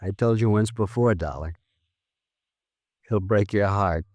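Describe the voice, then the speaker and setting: A man's voice: on the low side, unhurried, darkish tone, highs cut off, lightly acted. An actor playing a weary, bitter henchman of a tycoon, resigned and cynical, in 1950s radio drama style. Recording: restored old radio broadcast, faint music.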